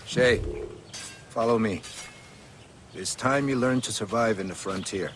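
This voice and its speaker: A man playing a solemn, wise frontier hunting mentor in a video game, speaking in a grave, measured, instructive way. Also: lowish voice, fairly bright tone, slight background noise. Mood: calm, instructive. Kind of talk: video game cutscene acting.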